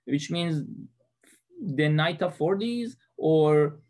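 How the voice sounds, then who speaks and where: Man, 30s, Persian accent, student presenter recorded on webcam; halting delivery with frequent fillers. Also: a little high, a little mellow. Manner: hesitant, many fillers and restarts. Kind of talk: class presentation lecture.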